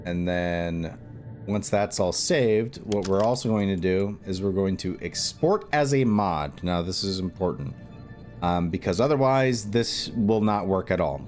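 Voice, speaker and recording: A man with a fairly low voice walks through a screen-recorded tutorial. He talks informally and thinks aloud as he goes, with music playing behind him. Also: a little mellow. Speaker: video tutorial host and game modder.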